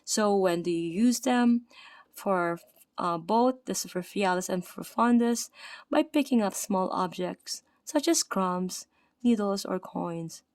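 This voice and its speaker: A woman's voice: medium pitch, animated, measured pace, darkish tone, no echo. Tired Filipino-accented woman, self-study narration with slips, dry recording. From Philippines. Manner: reads aloud with slips, self-corrections and filler "okay, right?". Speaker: anatomy study presenter. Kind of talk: reading aloud from a textbook.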